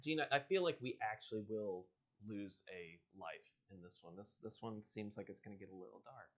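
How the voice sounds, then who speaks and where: A man's voice: on the low side, a little fast. Lo-fi recording of a man reading a storybook. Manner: informal, bantering with co-host and viewers. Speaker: host reading an interactive adventure book aloud. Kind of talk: storybook read-aloud mixed with casual livestream chat. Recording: dull lo-fi livestream audio.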